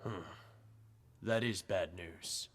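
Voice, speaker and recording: A man's voice: low voice, slow. A man playing a cold, menacing gang boss with clipped, controlled delivery in an old-time radio serial. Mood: cold menace. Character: cold, menacing male crime boss; also a polite butler.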